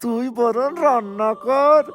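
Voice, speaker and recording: A man voicing a hungry man-eating ghost in a cartoon, theatrical and gloating, with a drawn-out chanting delivery. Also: extremely high pitch, over loud music. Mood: delighted, greedy. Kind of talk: cartoon villain acting.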